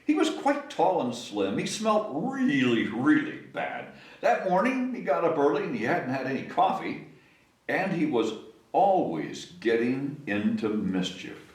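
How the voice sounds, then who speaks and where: A man's voice: medium pitch, big pitch swings, measured pace. A man reading a picture book aloud to children in a playful, coaxing way, stretching words for effect and inviting listeners to join in. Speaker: story time reader, host. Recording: echoey room, distant microphone.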